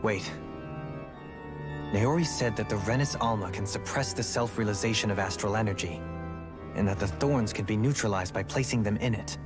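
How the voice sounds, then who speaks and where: An actor playing a thoughtful, analytical young male companion in a video game cutscene, reasoning in a measured way. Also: on the low side, over music, natural voice. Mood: questioning. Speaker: game character.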